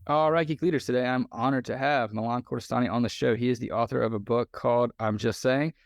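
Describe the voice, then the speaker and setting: A man hosting a podcast interview in a relaxed conversational way, often pausing on fillers and restarting sentences. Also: medium pitch, no echo. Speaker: podcast host.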